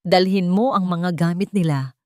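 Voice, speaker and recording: A woman's voice: lowish voice, normal speed, no room sound, lightly acted. Woman acting out a bossy middle-aged housemaid, cold, curt, commanding, audio drama story, dry sound.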